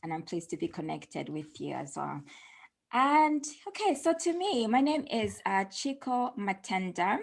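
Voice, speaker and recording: A woman's voice: mid-range voice, very animated, measured pace, vocal fry. A woman who grew up in the United States, hosting a casual video interview in a chatty, conversational way with frequent fillers. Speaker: host.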